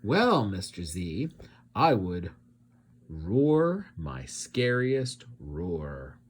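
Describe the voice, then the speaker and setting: Man doing an earnest, husky little monster pupil. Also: low-pitched, sing-song, exaggerated voice. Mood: eager, earnest. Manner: earnest, halting, gurgly. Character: small, timid but eager male monster pupil. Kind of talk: children's storybook character voice.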